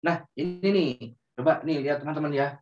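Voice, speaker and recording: A man telling a story to children in a gentle, drawn-out way, often turning to them as friends. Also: a little high, steady tone. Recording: roomy, distant, dull sound.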